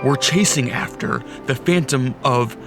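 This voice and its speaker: A man playing a cautious, courteous vampire count in a radio drama, restrained and deliberate in manner. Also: mid-range voice, natural voice. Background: dramatic music.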